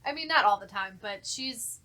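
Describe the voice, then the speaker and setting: A woman's voice: mid-range voice, animated, moderate pace, pushed and bright. A woman who works as a voice actor, talking informally and enthusiastically in a casual podcast discussion. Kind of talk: casual group podcast chat.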